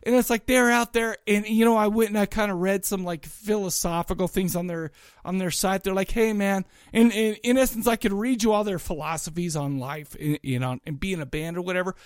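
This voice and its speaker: A man's voice: sits high, a little fast, smooth, no room sound, studio quality. A man chatting casually as a podcast co-host, talking in an enthusiastic, rambling, colloquial way about music. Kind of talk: casual chat, music review.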